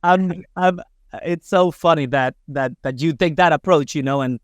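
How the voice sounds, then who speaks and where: A man's voice: on the high side, moderate pace, dry recording. A man from Spain hosting a podcast in English, rambling with many fillers and hesitations. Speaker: podcast host. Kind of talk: casual interview.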